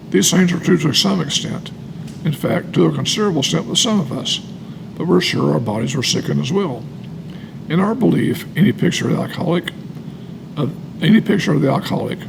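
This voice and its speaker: Gravelly, animated American man, emphatic. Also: on the high side, noisy background. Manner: emphatic, repeats phrases for weight. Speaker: co-host reading and commenting on a recovery book. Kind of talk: reading aloud with personal testimony.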